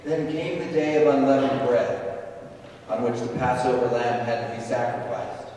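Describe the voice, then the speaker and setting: A man's voice: medium pitch, steady tone, normal speed, warm tone. A man giving a solemn scripture reading during a church service. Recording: distant, very echoey church, faint noise.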